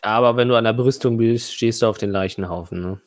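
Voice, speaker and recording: A man's voice: mid-range voice, brisk, no room sound. A man narrating a tabletop game casually as game master, hesitantly mumbling to himself as he works things out.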